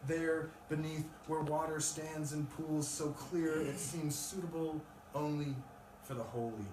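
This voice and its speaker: A male poet speaks at a live reading in a room. His voice is picked up from a distance with plenty of echo. There is clear, loud noise over the recording. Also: a little high, fairly even intonation, unhurried. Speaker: poet reading own work. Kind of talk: live poetry reading with casual introduction. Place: live event in a room with an audience.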